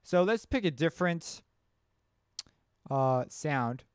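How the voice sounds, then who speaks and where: Male tutorial host, slightly high, clean voice, informal think-aloud delivery. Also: expressive, slow pace, clear, resonant tone, no room sound, clean studio sound, faint music behind. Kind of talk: casual software tutorial narration. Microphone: close mic screencast voice-over.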